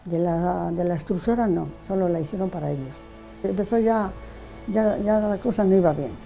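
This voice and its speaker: Older woman, interviewee, rambling recollection, dark mellow tone, faint music bed. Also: on the low side, normal speed, creaky, rough recording. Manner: rambling, repeats words, restarts often. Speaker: interviewee, widow of the artist. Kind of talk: casual reminiscing in an interview.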